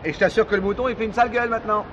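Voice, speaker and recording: Cocky young thug, high voice, talks fast, muffled radio drama. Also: male voice, steady tone, a bit bright, a little hiss or hum. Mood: insolent. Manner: cocky, slangy. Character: insolent, callous young delinquent son. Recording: muffled, long reverb, crowd behind.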